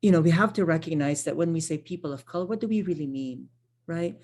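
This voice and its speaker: A woman's voice: low voice, fast talker, a little mellow. A woman, a Tagalog speaker, giving an online training workshop in a conversational way, often checking in with "right?" and restarting her phrases. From Philippines. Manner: conversational, frequent tag questions and self-corrections. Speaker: workshop presenter, social worker.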